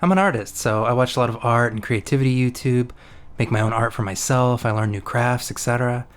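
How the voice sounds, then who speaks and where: A man's voice: mid-range voice, brisk, clear, resonant tone. A man reading an informal first-person testimony aloud as a guest contributor. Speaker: guest reader of a written community response.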